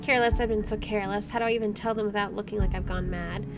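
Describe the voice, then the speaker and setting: A woman's voice: mid-range voice, fairly even intonation, very fast, over music. A woman doing gameplay commentary, reading a visual novel aloud and reacting casually.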